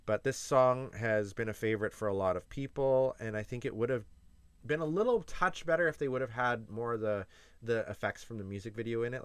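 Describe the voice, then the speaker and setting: A young man from Canada talks through his reactions in a loose, chatty way, hedging and restarting as he weighs his opinions. His voice sits low and comes across smooth and resonant. The sound is close and dry, with no room around it. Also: no echo. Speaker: YouTube reaction host. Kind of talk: casual reaction commentary.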